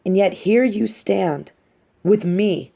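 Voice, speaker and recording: A woman playing a coolly menacing, manipulative but sympathetic supervillain in a scripted audio roleplay, speaking deliberately with theatrical condescension. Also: mid-range voice, gravelly, warm tone. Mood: cold persuasion.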